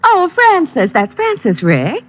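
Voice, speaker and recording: Detective's sweetheart: lively, swooping intonation, teasing, muffled vintage radio sound. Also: female voice, extremely high pitch, a little slow, heavily acted. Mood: gently warning. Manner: playful, lively. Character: teasing, playful girlfriend of a detective. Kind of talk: old-time radio drama acting. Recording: muffled old radio recording, faint music.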